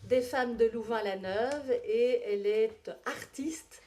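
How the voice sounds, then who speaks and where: A woman's voice: a little high, takes it slowly, warm tone. A woman moderating a conference, introducing the next speaker.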